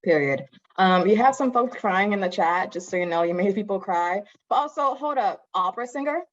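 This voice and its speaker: A woman's voice: medium pitch, animated. Playful woman moderating a video panel. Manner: playful, teasing, quick to react. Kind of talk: lively panel hosting. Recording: dull lo-fi call audio.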